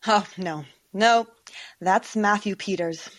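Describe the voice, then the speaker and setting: An actress playing an encouraging high school teacher, brisk and supportive, in an audio drama. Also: female voice, lightly acted.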